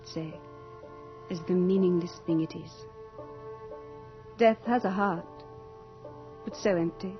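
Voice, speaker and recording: An actress playing a young, beautiful female Death in a radio horror drama, theatrical and deliberate, capricious and longing to be mortal. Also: on the low side, lightly acted. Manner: theatrical, deliberate, breathy at moments. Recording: dull old radio drama with music.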